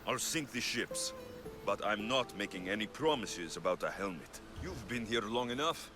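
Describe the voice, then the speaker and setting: A man's voice: fairly low pitch, expressive, moderate pace, music in the background, natural voice. A man playing a cool, self-assured mercenary hero. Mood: steady. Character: confident young male Greek mercenary hero. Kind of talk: video game cutscene acting. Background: orchestral score.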